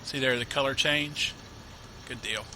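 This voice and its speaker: A man's voice: mid-range voice, fairly even intonation, measured pace, noisy background. A man with a cold, sniffling a little, casually narrating a farming game tutorial, rambling and correcting himself with lots of fillers. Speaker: gameplay tutorial host. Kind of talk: casual let's-play commentary.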